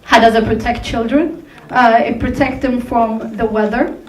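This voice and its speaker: Upbeat woman pitching in an echoey hall. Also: steady tone, moderate pace, slight background noise. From Tunisia, raised in Sweden. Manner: upbeat, laughing asides. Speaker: contestant presenting her company. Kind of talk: pitch presentation to judges. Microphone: distant from the recording mic. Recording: echoey hall recorded from far off.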